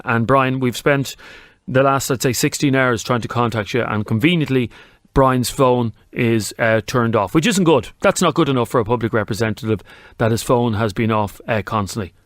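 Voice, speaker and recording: A man's voice: medium pitch, fairly bright tone, dry recording, clean studio sound. An Irish man hosting a talk radio show, pressing his points emphatically and firing questions at callers. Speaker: radio host. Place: radio studio. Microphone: studio mic.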